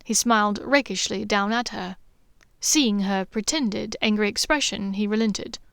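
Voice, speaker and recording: A woman narrating fan fiction as an audiobook in a steady, soft, intimate reading voice. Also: medium pitch, measured pace, vocal fry, clear, resonant tone, no echo, studio quality. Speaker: podfic narrator and author.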